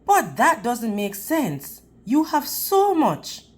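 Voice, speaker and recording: A woman's voice: fairly high pitch, wildly swooping pitch, slow, voice pushed up, full character voice. A woman voicing an earnest, frustrated boy in a storybook, blunt and protesting. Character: earnest, frustrated boy who loves baseball.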